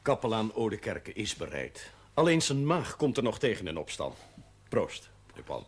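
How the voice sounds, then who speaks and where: An actor playing an authoritative senior parish priest, firm and dry in manner, in a television drama. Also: male voice, on the low side, very animated, moderate pace, background noise. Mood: dry, assured.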